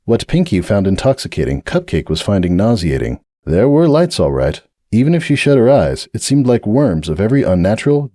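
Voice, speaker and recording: Expressive low-voiced male storyteller. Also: no room sound, studio quality. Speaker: narrator. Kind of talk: dramatic audiobook reading.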